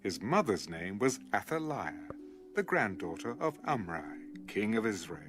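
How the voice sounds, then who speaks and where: A man narrating an audio Bible in a measured, dramatic storytelling manner. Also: on the low side, big pitch swings, over music. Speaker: scripture narrator.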